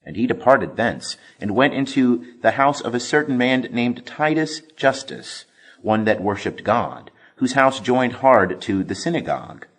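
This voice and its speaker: A man reading scripture as scripted audiobook narration, with expressive, deliberate emphasis. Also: mid-range voice. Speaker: scripture reader.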